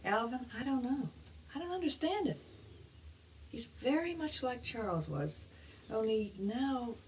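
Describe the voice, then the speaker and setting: A woman's voice: fairly high pitch, very animated, measured pace, darkish tone, muffled recording. An anxious mother, distant and noisy. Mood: worried. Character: anxious, conciliatory recently widowed mother. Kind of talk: radio drama acting.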